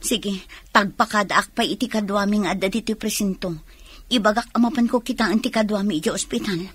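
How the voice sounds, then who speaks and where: Casting: actress as no-nonsense Ilocano woman at a police station, husky middle register, radio drama. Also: medium pitch, brisk, close to an everyday voice. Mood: frank, practical. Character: practical, frank female police station worker.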